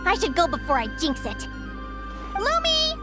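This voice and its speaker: Upbeat high-voiced young fae girl. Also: unhurried, heavily acted. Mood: flustered, friendly. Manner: earnest, expressive. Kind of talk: video game voice acting. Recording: faint game music behind voice.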